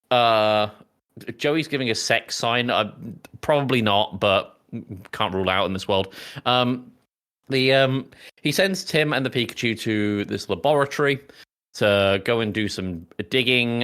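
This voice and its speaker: Low-voiced man rambling through a casual recap. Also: fairly bright tone. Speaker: podcast co-host. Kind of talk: casual film recap chat.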